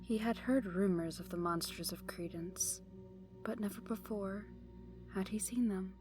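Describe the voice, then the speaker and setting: A woman playing a morbid, soft-spoken camper in an audio drama, with a deliberate, eerie storyteller's delivery. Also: mid-range voice, music in the background, lightly acted. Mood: ominous, hushed. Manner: hushed, ominous, deliberate.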